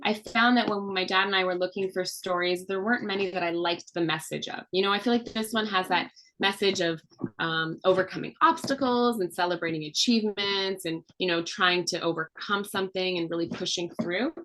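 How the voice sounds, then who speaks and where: A woman's voice: fairly low pitch, brisk, sharp tone, slight background noise, rough recording. A woman and mother, a children's book author, chatting casually on a video call and teaching children with questions and fun facts. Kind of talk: casual read-aloud talk with children.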